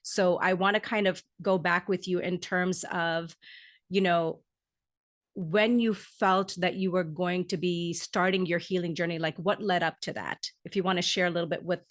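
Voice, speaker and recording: Effusive lower-voiced woman podcast host. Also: fairly even intonation, normal speed. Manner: gushing, effusive praise. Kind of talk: podcast interview hosting.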